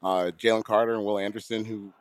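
Hoarse, gravelly podcast co-host man. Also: on the low side, level tone. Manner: halting, repeats words, chuckles. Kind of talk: casual podcast chat.

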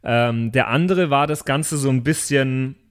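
Male tabletop player, medium pitch, casual and tentative, building ideas out loud piece by piece. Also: a little slow, clear, resonant tone. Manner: hesitant, thinking aloud in fragments. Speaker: tabletop role-playing player. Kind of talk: casual chat.

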